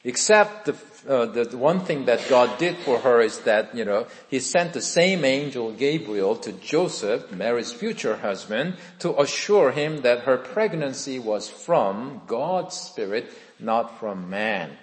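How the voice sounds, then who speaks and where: Pastor in his 50s, lively up and down preaching voice, big echoey church hall. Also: mid-range voice, unhurried, few pauses. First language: Korean. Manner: earnest, conversational, asks the congregation questions. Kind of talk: sermon with personal testimony.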